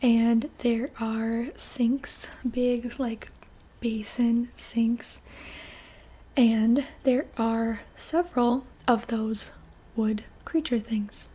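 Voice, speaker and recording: A young woman whispers an ASMR roleplay story, slow and gentle. Her voice is mellow and breathy, fairly high, with relaxed, casual fillers.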